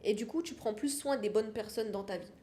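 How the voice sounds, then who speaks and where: A woman's voice: mid-range voice, fairly quick, warm tone. A woman from France, a podcast guest, talking frankly in a casual interview and repeating her phrases for emphasis.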